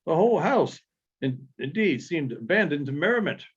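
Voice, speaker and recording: A man reading literary narration aloud in a halting way, with frequent pauses and small stumbles. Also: fairly high pitch, sing-song, slightly rough. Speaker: audiobook reader. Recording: dull, lo-fi sound.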